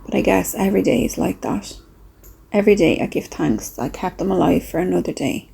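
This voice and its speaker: A woman speaking softly and reflectively with pauses, in a breathy, husky voice, as she leads a gentle guided meditation. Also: lowish voice, expressive. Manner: slow, soft, reflective, with pauses.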